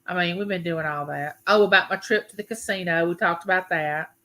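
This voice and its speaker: A woman in her fifties with a Southern US accent hosts a relaxed livestream chat, talking to viewers like neighbors. Her voice is bright and forceful, and her phrases are folksy and drawn out. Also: fairly low pitch, fairly quick. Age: 50s. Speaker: YouTube livestream host. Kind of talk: casual live chat with viewers.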